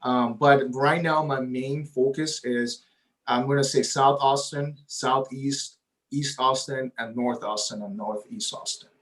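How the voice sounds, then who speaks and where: A man whose first language is Spanish, speaking as a guest realtor at a middle pitch. He talks eagerly and at length, checking in with a frequent "right?". The tone is easy and conversational. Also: steady tone, unhurried, fairly bright tone, low-quality recording. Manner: eager and talkative, often adds "right?". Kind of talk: casual interview talk.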